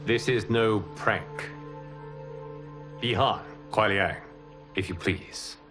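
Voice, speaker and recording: A low-voiced, solemn fire god. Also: male voice, music in the background. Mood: grave. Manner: formal, measured. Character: solemn, composed male fire god, protector of a realm. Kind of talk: scripted video game cutscene acting.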